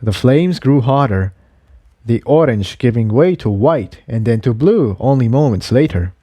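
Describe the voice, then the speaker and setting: A man's voice: mid-range voice, lively intonation, unhurried, dark tone, dry recording. A man reading an adventure story aloud as scripted narration. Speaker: audiobook reader of fan fiction.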